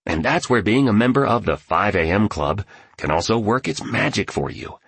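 Guy doing an eccentric billionaire guru for an audiobook, deep raspy voice, preachy and emphatic. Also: lively intonation, moderate pace, a bit bright, no echo, heavily acted. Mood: earnest, inspiring. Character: gleeful eccentric billionaire mentor, male, grandly encouraging.

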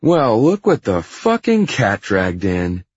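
A man's voice: medium pitch, animated, moderate pace, darkish tone, husky, dry recording, lo-fi sound, voice pushed up. A man voicing a whiny, sneering, foul-mouthed office villain in an audiobook, belligerent in manner. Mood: mocking. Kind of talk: audiobook character dialogue.

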